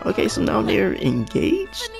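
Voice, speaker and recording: A man casually commenting on his game, thinking out loud and getting excited as things happen, with a raspy voice. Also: high-pitched, measured pace, over music. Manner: thinks aloud, excited and unsure. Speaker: gaming channel host.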